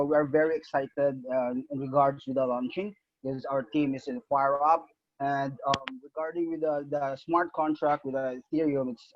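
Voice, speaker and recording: A man's voice: medium pitch, no room sound. A man from the Philippines, a team leader speaking on a video call, hesitant and full of fillers but earnest. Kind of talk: halting speech to a group. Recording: dull, dry video-call audio.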